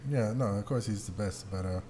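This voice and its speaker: A man's voice: lowish voice, a little fast, dark tone. A man hosting a casual podcast chat in a joking, slangy, sometimes profane way. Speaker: podcast host. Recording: clear background noise.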